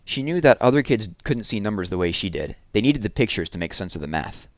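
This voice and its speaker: A man narrates a children's story aloud in a low register. His delivery is highly animated, with pitch that moves around a lot, and the sound is dry and close. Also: quick pace, no echo. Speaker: reader of a children's novel aloud. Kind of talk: expressive read-aloud storytelling.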